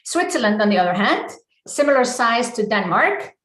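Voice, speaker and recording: A woman with an Icelandic accent leads a live online coaching presentation, speaking deliberately in short, emphatic phrases. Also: on the high side, sing-song, a bit bright. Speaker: business coach and session host. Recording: echoey room.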